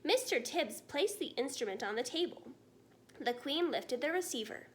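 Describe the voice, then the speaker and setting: A woman reading a children's story aloud as an expressive narrator. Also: high voice, normal speed.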